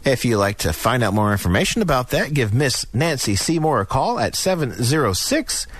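A man's voice: medium pitch, very animated, normal speed, a bit bright, husky. An American man reading upbeat scripted radio announcements and commercials with a polished, punchy announcer delivery. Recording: music bed behind the voice. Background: music.

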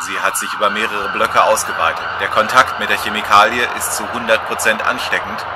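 A man voices a TV field reporter caught in a chemical attack in a radio play. He is tense and panicked. Loud gunfire, sirens and vehicle noise swamp the recording. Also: on the low side, fairly even intonation, brisk, close to an everyday voice. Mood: reporting. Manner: panicked, screaming. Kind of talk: amateur radio play acting.

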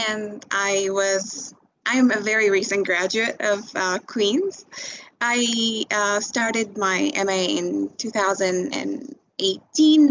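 A woman's voice: medium pitch, fairly bright tone, poor audio. Young woman from Tunisia, recent grad, hesitant chatty delivery, faint hiss on a video call. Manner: hesitant, many filler words and restarts. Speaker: graduate speaking to prospective students. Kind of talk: informal webinar talk.

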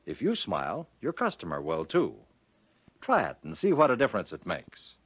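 An American man narrates in the manner of a 1940s training film. His fairly low voice moves widely up and down as he instructs, leaning deliberately on key phrases, and the sound is dry and close. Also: huge pitch swings, slightly rough, dry recording.

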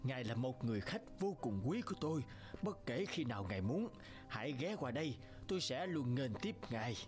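A man's voice: medium pitch, sing-song, brisk, music in the background, natural voice. A man voicing a greedy, imperious middle-aged king in a cartoon fairy tale, theatrical and commanding. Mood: fawning.

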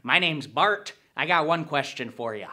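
A man's voice: on the high side, lively intonation, normal speed, pushed and bright, exaggerated voice. A man playing a chipper, polite Minnesota hotline operator with a Minnesotan accent and folksy manner. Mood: chipper, flirty. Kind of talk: character comedy monologue.

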